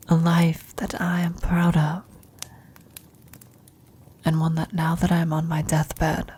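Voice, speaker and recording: A woman playing a tender, serene elderly former adventurer on her deathbed in an ASMR roleplay, speaking in a reflective, breathy whisper. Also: lowish voice, dark tone, full character voice. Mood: wistful, serene.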